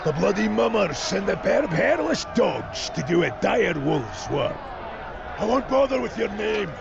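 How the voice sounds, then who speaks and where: A male actor playing a proud, gruff, battle-hardened clan lord with blustering, archaic speech in a fantasy game. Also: sits high, sing-song, unhurried, lo-fi sound, over music, crowd noise, full character voice. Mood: menacing contempt. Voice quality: gravelly.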